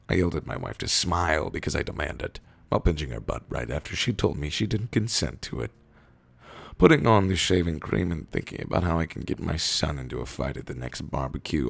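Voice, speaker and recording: A man's voice: extremely low pitch, creaky. A young man reading internet posts aloud in a mock-serious way, with a foul-mouthed streak. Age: young adult. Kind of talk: dramatic mock-serious reading and rambling commentary.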